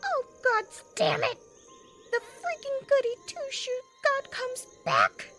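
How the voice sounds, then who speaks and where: A woman acting a sharp-tongued, contemptuous creature who demands souls in a fantasy audio drama. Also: very high voice, slow pace, over music, full character voice. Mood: petulant outrage.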